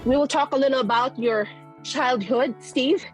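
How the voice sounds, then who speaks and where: A woman's voice: high voice, moderate pace, faint music behind, poor audio. A woman interviewer asking a guest brief, friendly questions. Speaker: interviewer, host.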